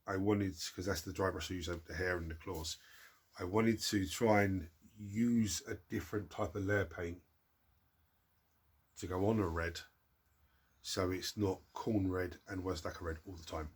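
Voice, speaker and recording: A low-voiced man with a husky, breathy tone, talking casually to his viewers. He sounds blocked up from a cold and tends to ramble, doubling back and restarting his words. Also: steady tone, normal speed. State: congested from a cold. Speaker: hobby channel host answering viewer questions. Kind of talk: casual chat.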